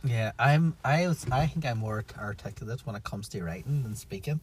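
A man in easy podcast banter, his pitch swinging widely as he teases and laughs. His voice sits in a middle register, with a faint hiss in the background. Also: lively intonation, normal speed. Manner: teasing, laughs often. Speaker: podcast co-host.

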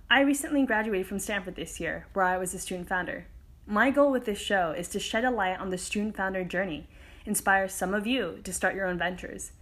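A woman's voice: medium pitch, fairly bright tone. A woman in her twenties, host of a podcast, reading a scripted intro and interviewing a guest in an upbeat way. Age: 20s.

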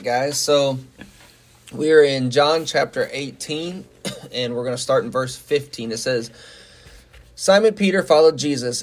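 A man co-hosting a church podcast, talking in an earnest, upbeat and plain-spoken way and reading scripture aloud. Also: medium pitch. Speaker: podcast co-host. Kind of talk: casual faith talk and scripture reading.

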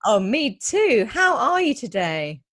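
A woman's voice: a little high, big pitch swings, slow, fairly bright tone, dry recording, studio quality. A woman hosting a podcast interview, asking questions in a chatty, encouraging way. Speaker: podcast host.

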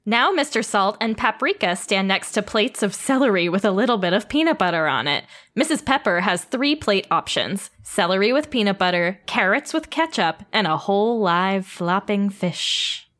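A woman's voice: mid-range voice, moderate pace, hardly any pauses. A woman reading stage directions aloud at a comedy table read, adding playful asides. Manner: lively, reads with playful asides.

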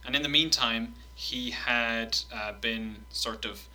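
Bright-voiced man reading quotes. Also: medium pitch, slow, slight background noise, low-quality recording. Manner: animated, swearing, laughing while reading quotes. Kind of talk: casual chat, reading quotes aloud.

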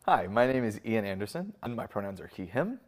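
A man in his twenties giving casual, reflective interview answers in a halting, informal way, often interrupting himself. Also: on the low side, big pitch swings. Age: 20s.

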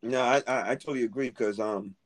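A man's voice: medium pitch, a little fast. A Haitian-born man hosting a podcast, chatting casually with his guest in an affirming, friendly way. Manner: warm, affirming, calls guest darling. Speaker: podcast host. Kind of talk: casual interview chat.

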